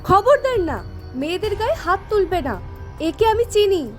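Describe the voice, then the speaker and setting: A woman's voice: extremely high pitch, very animated, normal speed, soft background music. A woman playing a bold, cheeky, loyal young girl in an audio story, eager and outspoken. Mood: defiant. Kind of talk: Bengali audio story acting.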